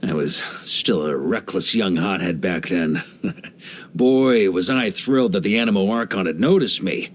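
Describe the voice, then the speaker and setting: An actor playing a genial, laid-back middle-aged knight commander in a video game, with a theatrical, easygoing manner. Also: male voice, sits low, animated, measured pace, a little hiss or hum. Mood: musing, amused. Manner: jovial, laid-back, theatrical, given to chuckles. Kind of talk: English video game character voice lines.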